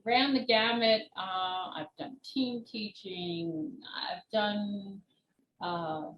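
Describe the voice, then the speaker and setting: An older woman, in her sixties or beyond, answering interview questions as an author and former teacher in a measured, explanatory way with pauses between short phrases. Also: mid-range voice, dull audio. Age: 60s or older. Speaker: children's book author and retired teacher being interviewed. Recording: roomy echo with clear background noise.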